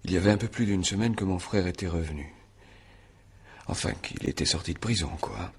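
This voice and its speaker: A young farmer under questioning in a radio drama. His voice is deep and heavily rasping, and he answers in a withdrawn, hesitant, sullen way, keeping things short and evasive. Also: heavily acted. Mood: reluctant, defensive. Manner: hesitant, evasive, taciturn, mumbled halting answers. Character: sullen, withdrawn 23-year-old male farmer accused of murder. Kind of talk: French radio drama acting.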